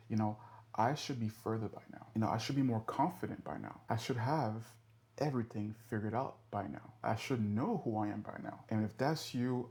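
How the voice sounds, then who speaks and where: A man's voice: medium pitch, dark tone. Earnest man in his thirties, reflective. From born in the US, raised in Europe. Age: 30s. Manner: earnest, measured, with occasional fillers. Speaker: podcast host. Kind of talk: reflective solo podcast monologue.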